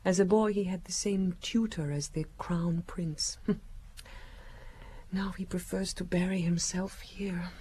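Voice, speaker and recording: An actress playing a secretive, languid young woman in an audio drama, coquettish and timid, with hesitant pauses. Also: lowish voice, fairly even intonation, mellow, slight background noise, lightly acted. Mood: wistful. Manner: hesitant, breathy pauses, coy.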